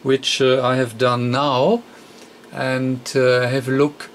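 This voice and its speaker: A man who speaks as a walks through a technical repair step by step. His voice sits at an average pitch and moves very slowly, with frequent hesitations and an unscripted, halting flow. A faint layer of noise sits behind him. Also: flat delivery. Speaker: radio repair technician demonstrating. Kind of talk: unscripted hands-on repair tutorial.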